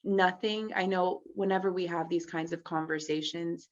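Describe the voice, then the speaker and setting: A woman from Texas, a guest in a casual interview, talking reflectively in a relaxed way with many fillers. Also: on the low side, normal speed. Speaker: actress guest. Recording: dull, muffled lo-fi audio.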